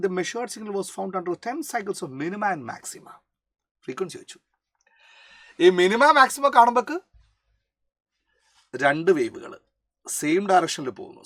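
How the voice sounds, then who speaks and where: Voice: mid-range voice, a bit bright, no echo, clean studio sound. A physics teacher with a Malayali accent, explaining a worked problem step by step, mixing in English terms and often asking short check questions. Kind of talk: explanatory lesson working through a problem.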